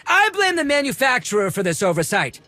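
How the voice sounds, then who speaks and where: An actor playing a fussy, haughty collector and droid restorer in a video game, with a prim, formal manner. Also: male voice, very high voice, wildly swooping pitch, a little fast, pushed and bright, dry recording, faint music behind, full character voice. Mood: indignant, defensive.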